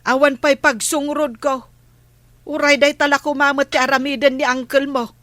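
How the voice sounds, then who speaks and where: Woman acting a naggy gossipy village auntie, highish bright voice, really dry close sound. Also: little pitch movement, normal speed, dry recording. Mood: grumbling. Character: complaining, gossipy barangay housewife and aunt. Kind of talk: comedy drama acting.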